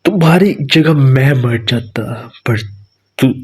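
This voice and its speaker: A man playing a cold, aloof young vampire king in a dramatic romantic ASMR roleplay, with a husky, breathy voice. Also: mid-range voice. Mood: grieving, tender. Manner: aloof, condescending, slow deliberate delivery.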